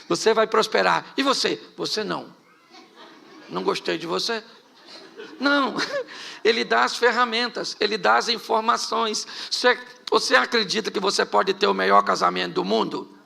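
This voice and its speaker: A man's voice: sits high, moderate pace, creaky, pushed and bright. A middle-aged man from Rio de Janeiro with a Carioca accent, preaching a humorous live sermon with jokey stories and rhetorical questions to the congregation. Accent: Carioca Portuguese. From Rio de Janeiro, Brazil. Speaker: pastor. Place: church hall before a congregation.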